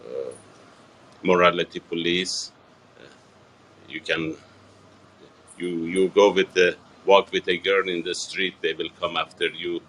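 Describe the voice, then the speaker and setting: A man, answering a TV reporter's questions in a halting, earnest way with many fillers and restarts. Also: sits low, steady tone, fairly bright tone, slight background noise, rough recording. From Iran. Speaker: interviewee. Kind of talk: TV news interview.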